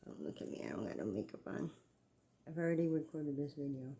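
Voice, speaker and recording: Low, mellow-voiced woman. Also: very animated, a little fast, husky. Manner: dry, sarcastic remarks. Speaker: reaction video host. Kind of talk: casual commentary.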